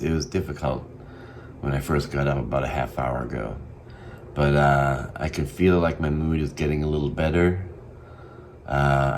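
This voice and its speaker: A man with an extremely deep voice and a Boston accent talks through his day in a casual video diary. He speaks haltingly and candidly, full of ums and trailing pauses. A faint hum of room ambience sits behind him. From Massachusetts. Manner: halting, many ums, soft-spoken and candid. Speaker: vlogger.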